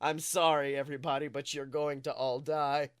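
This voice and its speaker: A man co-hosting a casual film chat, talking in an excitable, slangy way and swearing freely. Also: a little high, normal speed, clear, resonant tone, dry recording, clean studio sound. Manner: self-described nerd, animated. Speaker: podcast co-host.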